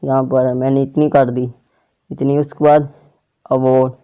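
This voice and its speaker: A man's voice: medium pitch, level tone, a little slow, clean tone, lo-fi sound. A man hosting a casual tech tutorial, explaining an app step by step to viewers. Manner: step-by-step instructions addressed to viewers.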